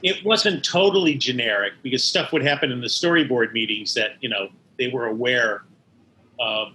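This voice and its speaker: Bright-voiced older American man, noisy recording. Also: medium pitch, normal speed. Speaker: interview guest, animation studio veteran. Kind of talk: conversational interview recollection.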